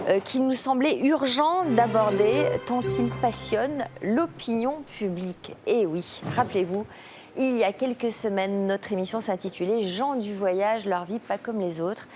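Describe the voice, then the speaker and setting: A woman hosting a television talk show, guiding guests with soft murmurs of encouragement. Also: mid-range voice. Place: television studio.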